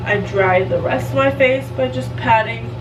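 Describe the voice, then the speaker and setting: A woman's voice: on the high side, moderate pace. A woman with a stuffed-up nose, talking casually through her skincare routine as a beauty vlogger, chatty and self-mocking. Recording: distant mic with steady hum.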